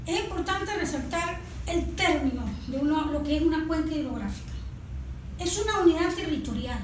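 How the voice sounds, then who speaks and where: A woman with a Venezuelan Spanish accent explaining things formally and didactically as an interview guest. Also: very high voice. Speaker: guest, environmental project proponent. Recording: distant mic, very echoey noisy room.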